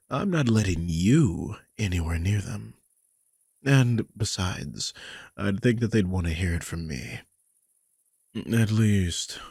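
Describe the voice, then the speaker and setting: A man playing a grumpy, sardonic old vampire mentor in an intimate audio roleplay, husky and informal, prone to swearing. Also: sits low, a little mellow, dry recording, clean studio sound, exaggerated voice.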